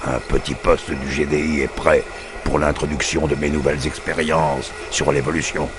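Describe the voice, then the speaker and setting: A man voicing a cold, calculating military artificial intelligence in a video game, measured, formal and emotionless. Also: extremely low pitch, level tone, noisy background. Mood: cold, scheming. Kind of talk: video game cutscene dialogue.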